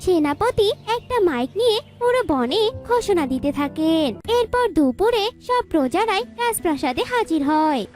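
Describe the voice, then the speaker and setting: A woman's voice: very high-pitched, very animated, normal speed, hardly any pauses, darkish tone, soft background music. A woman narrating a children's cartoon story in a scripted storytelling style.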